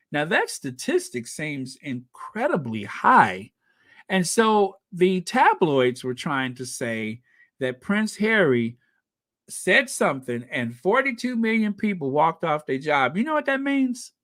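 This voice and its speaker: A man hosts a chatty livestream, reading out viewer comments and laughing easily. His voice rises and falls a great deal while he takes his time over each phrase. The sound is close and dry, with no room echo. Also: on the high side. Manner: chatty, animated, laughs often. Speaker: livestream host.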